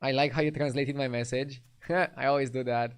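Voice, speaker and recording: A man's voice: medium pitch, fairly even intonation, normal speed, clear, resonant tone. A young man with a light Romanian accent, casually chatting with his live-stream audience while playing a card game. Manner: laid-back, chatty, answers chat between plays.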